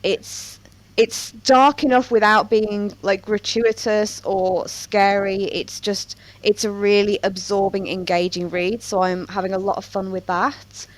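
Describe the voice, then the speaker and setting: A woman's voice: medium pitch, flat delivery, unhurried, poor audio. A woman chatting casually as a podcast host about her interests. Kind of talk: casual book podcast chat.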